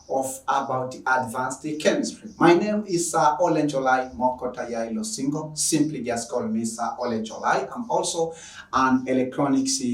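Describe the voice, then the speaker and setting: A man's voice: mid-range voice, reverberant, recorded from a distance, poor audio. A Tanzanian-accented man opening an online lecture, enthusiastic and repetitive. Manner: enthusiastic, repetitive, long-winded. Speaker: online teacher. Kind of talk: lecture introduction.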